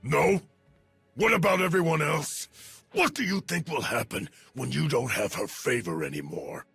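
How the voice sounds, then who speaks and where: An actor playing an arrogant, menacing sci-fi raider enforcer who taunts and intimidates in a rough voice, as in a video game cutscene. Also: male voice, a little high, big pitch swings, normal speed, fairly bright tone, no echo, soft background music, heavily acted. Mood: angry threats. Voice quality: gravelly, rough.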